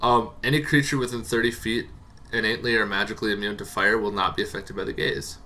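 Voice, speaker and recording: A man hosting a tabletop roleplaying show, explaining casually and off the cuff in a rambling, halting way that repeats words. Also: lowish voice, little pitch movement, pushed and bright, slight background noise. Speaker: host of a tabletop roleplaying monster lore show. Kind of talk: casual unscripted explainer to camera.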